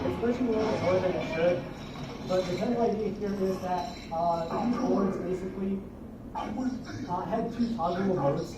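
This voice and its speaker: A young man with a high voice gives an informal technical demo, recorded from far off in an echoing room. Very loud noise surrounds him. His explanations come out halting and hedged. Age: young adult. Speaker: student project presenter. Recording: noisy roomy capture from far off.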